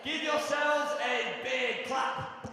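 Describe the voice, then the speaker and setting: A young man in his twenties who leads children in a loud, playful call-out game from a stage. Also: very high voice, steady tone, slow, noisy background. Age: 20s. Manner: loud, playful, calls out commands. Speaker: actor leading a children's game. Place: theatre stage in a large hall. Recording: echoey hall from far off with crowd.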